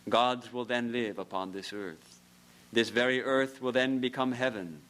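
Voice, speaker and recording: A man, a monk of a religious order, giving a lecture in a temple, telling stories with soft laughs and earnest, repeated phrases. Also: mid-range voice, steady tone, a little slow. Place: temple hall.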